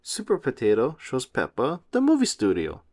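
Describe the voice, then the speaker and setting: Male storybook narrator for young children, expressive and very lively in pitch. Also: moderate pace. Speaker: read-aloud host. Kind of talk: children's picture book read-aloud, scripted narration.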